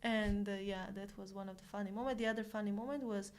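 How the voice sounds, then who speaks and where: Armenian-accented young woman, chatty and halting. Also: mid-range voice, fairly even intonation. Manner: chatty, halting with frequent fillers and restarts. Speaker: guest. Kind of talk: casual conversational interview.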